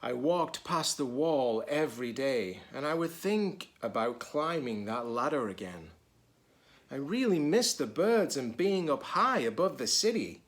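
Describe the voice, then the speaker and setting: A man voicing a wistful, anxious storybook egg who recounts his fall in an earnest, deliberate way. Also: mid-range voice, very animated, voice pushed up. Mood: wistful, determined.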